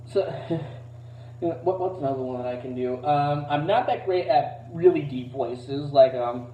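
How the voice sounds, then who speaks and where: A man with a fairly high voice talks casually through a voice demo. He is halting and modest about himself, rambling as he goes. The sound is roomy and muffled, picked up from a distance. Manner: hesitant, halting, self-deprecating. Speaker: amateur voice impressionist. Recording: roomy, distant, dull low-fidelity mic.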